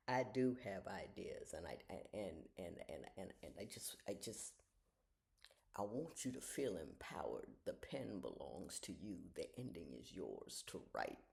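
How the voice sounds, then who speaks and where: A woman in her sixties with a gravelly edge to her voice, her pitch rising and falling freely as she talks. She speaks in a frank, folksy way and often slips into soft, half-whispered asides. She is at home in the early morning, leaning toward the camera. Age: 60s. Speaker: retired judge giving life advice. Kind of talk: casual reflective talk to viewers. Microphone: camera she leans toward.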